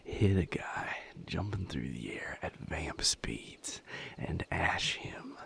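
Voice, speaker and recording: A man playing a wry, protective healer who fights vampires in an intimate audio roleplay, speaking haltingly in a breathy, gravelly low voice. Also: expressive, fairly bright tone, in a whisper, full character voice. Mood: amused, admiring.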